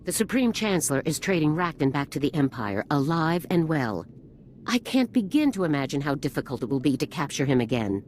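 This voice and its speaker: Stern, low-voiced female general. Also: sing-song, a little fast, darkish tone, a little hiss or hum. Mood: grave. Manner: formal, grave military delivery. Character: stern, hard-nosed female military general in a space opera. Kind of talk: video game cutscene voice acting.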